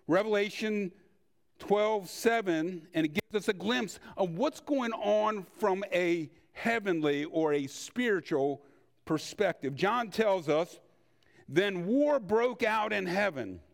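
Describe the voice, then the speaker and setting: Older guy giving a sermon, kinda high pitched and gravelly, talks like he's telling stories, pauses a lot. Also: animated. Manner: conversational storytelling with rhetorical questions and deliberate pauses. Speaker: preacher. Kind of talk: sermon with personal anecdotes.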